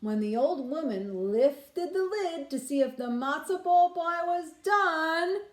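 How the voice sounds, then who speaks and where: A woman reading aloud to young children like a nursery school teacher, expressive and explaining things simply, in a roomy space. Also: high voice, takes it slowly. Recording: roomy, echoing room sound.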